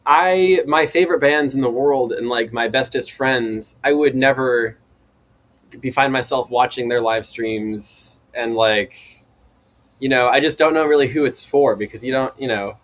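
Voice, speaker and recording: A man's voice: mid-range voice, measured pace, fairly bright tone. A young man, a band member, talking casually as a guest in an interview. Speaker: indie rock band member, podcast guest. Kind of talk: casual chat.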